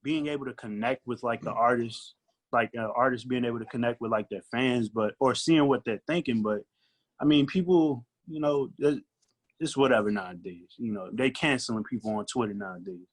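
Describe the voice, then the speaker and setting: A man's voice: medium pitch, a little fast. Man chatting casually on a podcast. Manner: colloquial, thinks aloud with repeated "like" and "you know". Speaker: guest recording artist. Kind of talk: casual chat, podcast discussion.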